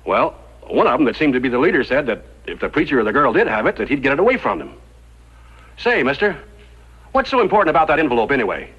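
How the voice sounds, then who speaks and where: An actor playing a wary, gruff frontiersman in a 1950s radio western, with a folksy, excitable manner. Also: medium pitch, quick pace. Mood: curious. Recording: muffled old radio recording.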